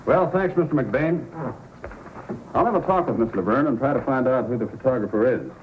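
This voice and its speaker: An actor playing a calm, courteous police sergeant who questions methodically, in old-time radio style. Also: male voice, medium pitch, a little fast, phone-quality sound, close to an everyday voice. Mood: businesslike. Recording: noisy old radio recording.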